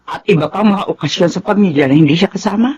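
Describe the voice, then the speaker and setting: A woman's voice: on the low side, quick pace, darkish tone, lo-fi sound, natural voice. An actress playing a stern, protective widowed mother in a Filipino radio drama, firm and admonishing. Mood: grave, pleading.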